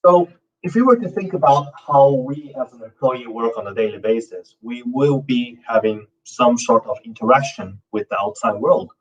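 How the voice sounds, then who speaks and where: A man presents his company at a conference, mid-pitched and halting, with plenty of fillers and restarts. His voice sounds set back from the microphone. The recording has a dull, muffled tone. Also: expressive, recorded from a distance. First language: not Norwegian. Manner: loose, halting delivery with many fillers and restarts. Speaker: conference speaker, company founder. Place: conference stage.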